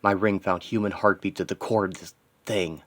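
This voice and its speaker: Man performing a grim, low-voiced vengeful superhero. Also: fairly even intonation, moderate pace, fairly bright tone. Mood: grieving, vengeful. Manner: terse, dramatic inner monologue in short fragments. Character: grieving, vengeful male superhero, grimly determined and anguished.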